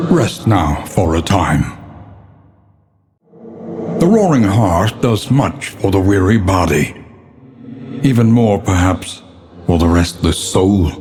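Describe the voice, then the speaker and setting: A man's voice: deep voice, a little mellow, slight background noise. An actor playing a grave, weary, learned older man who narrates a gothic horror game with solemn, ominous, theatrical diction. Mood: somber, soothing. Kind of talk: dramatic scripted gothic narration. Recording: long reverberant hall echo.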